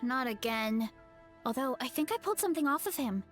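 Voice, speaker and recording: An actress voicing a friendly young woman from an orchard family in a game, talking in a relaxed, conversational way. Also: sits high, a little fast, faint music behind. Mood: thoughtful.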